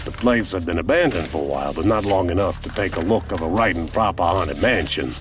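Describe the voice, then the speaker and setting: Deep-voiced, wry aging private detective. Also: male voice. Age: older. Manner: wry, colloquial, deliberate storytelling. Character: world-weary, wry older male private detective. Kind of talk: first-person noir audio drama narration. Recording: music under the voice. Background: music.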